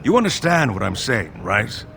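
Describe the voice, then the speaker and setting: Fantasy game dwarven noble: world-weary and wry, lowish voice with gravelly fry. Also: male voice, sing-song, normal speed, fairly bright tone, full character voice. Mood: wry, tipsy griping. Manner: world-weary, flippant, conversational. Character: jaded dwarven lord, weary young noble tavern-goer. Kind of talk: video game character dialogue.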